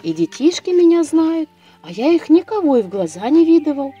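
A woman's voice: high-pitched, sing-song, brisk, dark tone, faint music behind, voice pushed up. A woman voicing a puzzled, wary young peasant castaway in a storybook tale, with a wondering, questioning manner. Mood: astonished.

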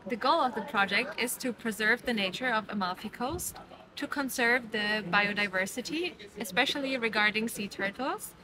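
A woman volunteer explaining the goals of a nature project to the camera in a clear, purposeful way. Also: a little high, normal speed, a crowd in the background.

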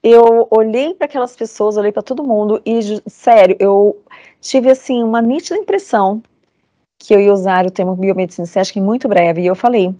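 A woman's voice: mid-range voice, brisk, warm tone, no echo. A woman in her forties from Brazil, giving an earnest, emphatic motivational talk to students over a video call. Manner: earnest, emphatic, repeats phrases for conviction. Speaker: lecturer.

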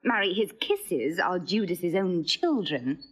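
An actress playing a witty, teasing young woman in a stage play, with a husky voice and an ironic manner. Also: lowish voice, lively intonation, slow, exaggerated voice, low-quality recording.

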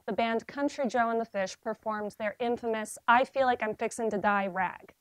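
A young woman gives a short graduate thesis talk to a seated audience, her voice fairly high and recorded dry and close. She speaks in a measured, earnest way, pausing for weight. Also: fairly bright tone, no room sound. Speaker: graduate student presenter. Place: library seminar room with audience.

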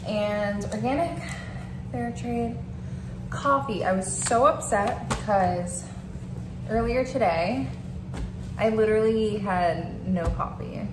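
A woman's voice: mid-range voice, slow pace, long pauses, echoey room, noisy background. A woman in her thirties chatting casually to camera in her home vlog, with many "like"s and "um"s. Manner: chatty, filler-heavy, lots of "like" and "um". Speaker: vlogger. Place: kitchen and living room of an apartment.

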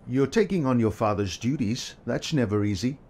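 An actor playing a kindly, gentle photographer who speaks softly and thoughtfully. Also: male voice, mid-range voice, expressive, moderate pace, a little hiss or hum, lightly acted. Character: kindly adult male photographer, gentle and reflective. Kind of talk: audio drama acting.

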